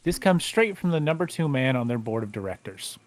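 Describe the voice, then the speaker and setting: A man's voice: mid-range voice, very animated, fairly quick, close to an everyday voice. Man playing a stiff, stumbling lawyer. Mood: grave. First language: not Japanese. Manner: stiff, stumbling formal delivery. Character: formal middle-aged male lawyer. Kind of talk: fan livedub of a visual novel.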